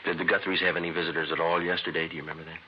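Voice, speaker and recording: Very deep, clipped police detective, played by a man. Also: flat delivery, racing, lightly acted. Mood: businesslike. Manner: clipped, matter-of-fact. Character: terse, businesslike male police homicide detective and narrator. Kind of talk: 1950s radio crime drama acting. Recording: noisy, dull vintage radio recording.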